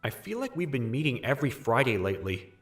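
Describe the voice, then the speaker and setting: Man playing animated, polite young junior employee. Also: mid-range voice, fast, dry recording, soft background music. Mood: reflective. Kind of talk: manga dub dialogue and first-person narration.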